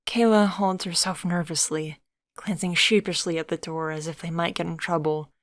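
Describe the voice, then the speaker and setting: A woman reads a story aloud in a steady, measured narrating voice, set low for a woman. The sound is dry and close to the mic, with no room around it. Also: dry recording. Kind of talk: reading a comic-dub story aloud.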